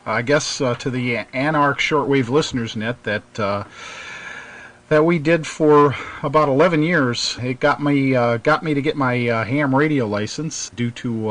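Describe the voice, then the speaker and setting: A middle-aged American man hosting a radio show, reminiscing casually in a halting, rambling way. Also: medium pitch, a bit bright.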